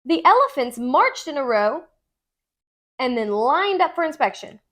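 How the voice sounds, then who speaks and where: A woman narrating a children's storybook aloud in an expressive, deliberate storytelling manner. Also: sits high. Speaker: tutor reading aloud.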